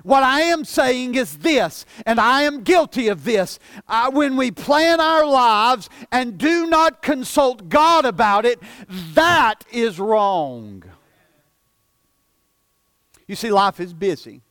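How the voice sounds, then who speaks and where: A man of forty preaching a sermon as pastor, earnest, often repeating and stumbling over words, dropping soft for emphasis. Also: very high-pitched, sing-song, takes it slowly, no room sound. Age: 40s.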